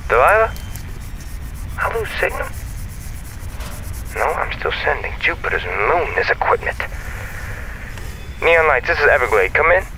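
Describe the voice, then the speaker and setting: A man's voice: on the high side, very animated, a little slow, long pauses, pushed and bright, a little hiss or hum, full character voice, low-quality recording. An actor playing a friendly, chatty, self-assured male space comms operator in a sci-fi audio drama, with a folksy, easygoing and playful manner over a radio link. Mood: urgent, concerned.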